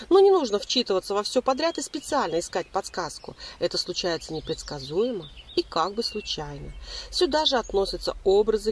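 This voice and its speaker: A woman reading a scripted spiritual self-help voice-over in a measured, explanatory manner with deliberate pauses. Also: mid-range voice, a little hiss or hum. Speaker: narrator giving spiritual self-help advice.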